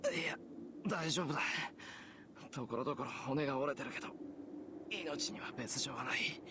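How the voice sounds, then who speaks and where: A man's voice: medium pitch, fast talker, background noise, close to an everyday voice. An actor playing a stubborn, wounded, protective young man in a Japanese visual novel. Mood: strained, reassuring.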